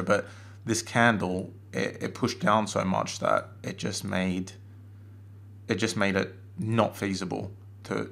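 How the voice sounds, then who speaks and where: A man talks through charts in a relaxed webinar, his low voice gravelly and strained by a cold. He stops and restarts often, filling gaps with ums and uhs, as if speaking into a desk mic. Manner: casual, hesitant, many fillers and restarts. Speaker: forex trading analyst. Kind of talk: screen-recorded chart analysis webinar.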